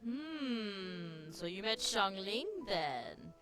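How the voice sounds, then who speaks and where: Chatty woman streamer, medium pitch. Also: lively intonation, drawn-out words. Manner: informal, chatty. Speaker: streamer reacting to a game. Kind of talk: casual live reaction commentary. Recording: game music clearly behind the voice.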